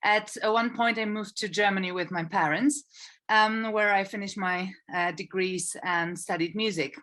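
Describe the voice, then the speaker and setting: A woman from Poland, explaining things informally as an interview guest in hesitant, halting phrases. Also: mid-range voice, fairly even intonation, sharp tone. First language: Polish. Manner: hesitant, halting phrasing with frequent repeated words. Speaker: podcast guest, artist coordinator.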